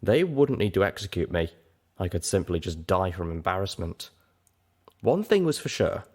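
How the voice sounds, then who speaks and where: Low-pitched man narrating a story. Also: very animated, moderate pace, darkish tone, slightly rough. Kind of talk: dramatic first-person story narration.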